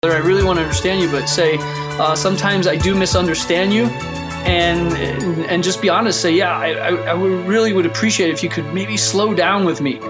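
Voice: medium pitch, steady tone, a little fast. Earnest advice host over background music. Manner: earnest, encouraging, emphatic. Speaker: podcast host giving advice. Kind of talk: casual motivational advice talk.

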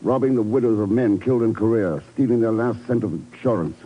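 An actor playing a cool, determined secret agent in a 1950s radio drama, with a terse, dry, commanding delivery. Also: male voice, fairly low pitch, fairly quick, breathy edge. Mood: grim. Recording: muffled 1950s radio recording.

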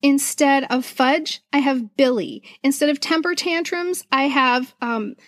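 A woman's voice: sits high, unhurried, no room sound, clean studio sound. A middle-aged American woman telling stories casually and expressively as a podcast co-host. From United States. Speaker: podcast co-host and children's author. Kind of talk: casual conversational storytelling about childhood memories.

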